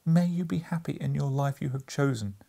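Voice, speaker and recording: A man voicing a gentle, sorrowful young woman in a storybook, speaking softly and earnestly. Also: on the high side, brisk, pitched above the performer's own voice. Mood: resigned farewell. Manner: soft, earnest, breathy. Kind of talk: dramatic audiobook character reading.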